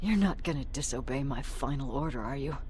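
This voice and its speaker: An actress playing a tough, wounded military commander, blunt and grimly resolute, in a dramatic video game. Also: female voice, a bass voice, animated, a little fast, darkish tone, a little hiss or hum. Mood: weary confession.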